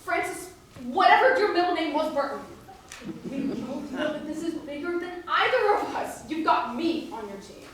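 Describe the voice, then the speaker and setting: A woman's voice: high-pitched, animated, normal speed, crowd noise. A woman playing a plucky, eager young amateur sleuth in a spirited musical theatre style. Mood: eager, playful. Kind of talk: musical theatre stage acting. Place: staged reading before live audience. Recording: echoey hall, distant mic, music behind.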